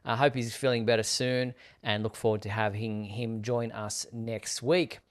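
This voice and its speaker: A man's voice: lowish voice, flat delivery, normal speed. A man hosting a live online fan talk show, addressing viewers in a courteous, appreciative way.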